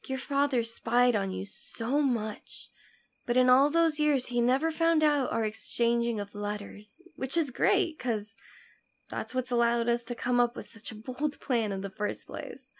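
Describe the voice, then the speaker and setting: A woman's voice: on the high side, creaky. A woman playing a devoted, teasing demon queen in an ASMR roleplay, speaking intimately and tenderly, often dropping toward a whisper. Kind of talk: ASMR roleplay audio drama.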